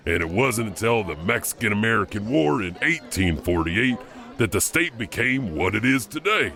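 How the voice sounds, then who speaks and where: A man's voice: lowish voice, sing-song, normal speed, gravelly, sharp tone, exaggerated voice. A man playing a folksy janitor storyteller, rambling and mumbling through mouthfuls of food as he hosts an audio drama anthology. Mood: jovial, rambling. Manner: chewing and mumbling between phrases, rambling. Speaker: host narrator of a horror anthology. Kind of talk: in-character scripted hosting.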